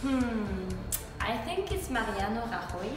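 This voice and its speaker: A woman voicing a German interviewee who answers slowly with a thoughtful "hmm". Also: a little high, big pitch swings. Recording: echoey hall from far off, music behind.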